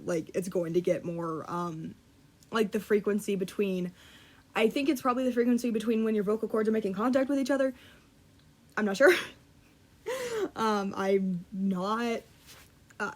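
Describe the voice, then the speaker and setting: A young woman vlogging in a casual, self-deprecating ramble, laughing often and swearing offhand while trying to make her voice deeper. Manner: deliberately lowering her voice. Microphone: computer mic.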